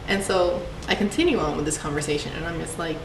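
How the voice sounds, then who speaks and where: A woman's voice: low-pitched, quick pace. A young woman from Puerto Rico, a student telling personal stories for a class project in a chatty way, with many fillers. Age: young adult. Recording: echoey, distant mic, background noise.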